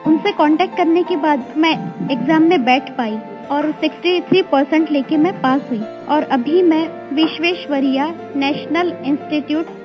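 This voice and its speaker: A young Indian woman gives a personal testimonial in a high-pitched voice. The recording is dull and lo-fi, with music clearly underneath. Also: moderate pace. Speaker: help desk client giving a testimonial. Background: clear background music.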